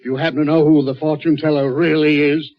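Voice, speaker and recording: A jovial, blustering older British major, played by a male actor in a vintage radio play. He is avuncular and bossy, with a fairly high voice for a man. The recording is dull and lo-fi. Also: little pitch movement, fairly quick, natural voice. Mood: confiding. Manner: jovial, blustering, avuncular, old-fashioned phrasing. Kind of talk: old-time radio drama acting.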